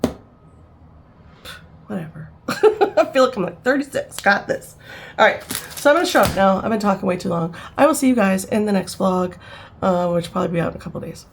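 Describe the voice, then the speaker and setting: A woman's voice: medium pitch, animated, moderate pace, vocal fry, pauses often, slight background noise. A woman in her fifties, rambling and chatty, talking casually to camera at home, with frequent laughs and fillers. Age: 50s. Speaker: vlogger.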